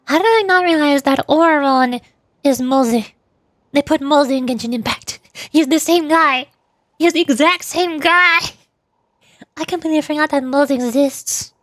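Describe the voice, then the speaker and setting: Female game streamer, high-pitched, playful and rambling, casual livestream chat, very dry sound. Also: unhurried, a bit bright, no room sound. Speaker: streamer, VTuber. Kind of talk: casual gaming livestream chat with voiced dialogue.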